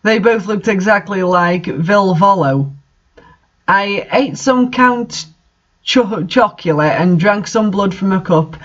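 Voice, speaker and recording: A man with a rather high-pitched voice reads a story aloud with sardonic commentary. He sounds exasperated by the material. The recording is somewhat dull and lo-fi. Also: slow pace, fairly bright tone. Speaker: reader and commentator of bad fan fiction. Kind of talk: story reading with mocking commentary.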